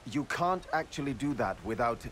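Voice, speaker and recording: An actor playing an exasperated, by-the-book commander in a video game. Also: male voice, mid-range voice, animated, moderate pace, fairly bright tone, a little hiss or hum, natural voice. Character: stiff, rule-bound male military commander.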